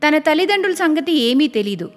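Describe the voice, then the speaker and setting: A woman narrator with a high, animated voice tells a scripted moral tale expressively. Her voice carries long reverb with music underneath. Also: a little fast. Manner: expressive storytelling.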